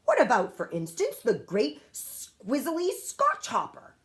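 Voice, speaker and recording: A woman voicing a very old, friendly male giant in a children's storybook, indignant and grand, with muddled grammar and emphatic drawn-out words. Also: a little high, wildly swooping pitch, voice pushed up, heavily acted. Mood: lecturing.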